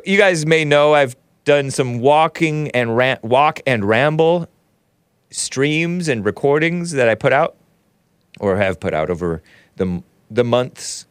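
A man's voice: medium pitch, clean tone, dry recording. A man hosting a casual livestream, reading viewer chat aloud with jokey asides and frequent chuckles. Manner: jokey, reads chat messages aloud in mock voices, chuckles often.

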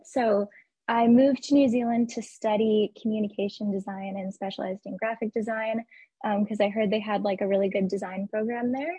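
Guest, woman in her twenties from Arizona; slightly husky voice, dry close sound, friendly and filler-heavy. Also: mid-range voice, mellow, no room sound, low-quality recording. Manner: friendly, lots of "like" and "um". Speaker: guest, graphic designer. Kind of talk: casual interview.

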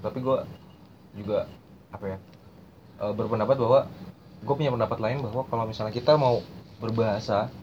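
Young man, slangy casual explainer, muffled lo-fi sound, faint hiss. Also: medium pitch. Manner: slangy, repeats phrases while explaining. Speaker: podcast host. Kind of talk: casual chat explaining language learning. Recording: muffled lo-fi recording with faint noise.

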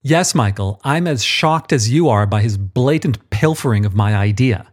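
A man's voice: medium pitch, expressive, normal speed, lightly acted. A man reading an audiobook, voicing an impatient, condescending male archangel who orders his underling about. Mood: imperious. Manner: condescending, impatient, self-important. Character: pompous, imperious male archangel boss. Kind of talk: audiobook character voice.

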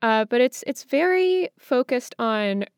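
An American woman with a clear, smooth voice at a middling pitch, recorded dry with no room sound. She interviews conversationally, feeling out her questions and reflections. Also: animated, unhurried, dry recording. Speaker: interviewer, host.

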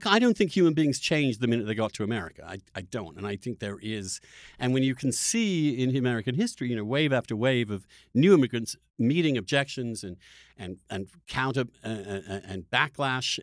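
Podcast host guy chatting, halting and opinionated, really dry sound no room echo. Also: medium pitch, sing-song. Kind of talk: conversational interview discussion.

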